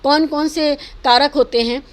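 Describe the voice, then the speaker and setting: A woman's voice: high voice, steady tone, a little slow. A woman giving earnest, emphatic opinions in an interview, arguing her points and mixing in English words. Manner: emphatic, argumentative, mixes in English words and phrases. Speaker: interviewee giving social and political commentary.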